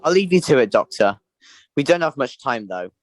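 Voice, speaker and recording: A man's voice: medium pitch, big pitch swings, moderate pace, natural voice. A man playing a questioning, dutiful prison chief guard in an audio drama. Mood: resolute.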